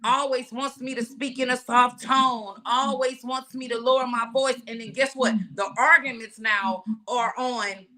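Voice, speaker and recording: A woman hosting a casual panel discussion, talking loudly and emphatically with rhetorical questions. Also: a little high, steady tone, unhurried, few pauses. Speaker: host.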